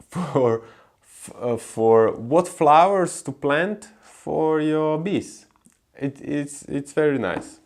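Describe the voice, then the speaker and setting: A young man from Croatia with a Croatian accent, talking casually to camera about gardening, halting often with fillers and restarts. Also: mid-range voice. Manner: hesitant, many fillers and restarts. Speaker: gardening vlogger.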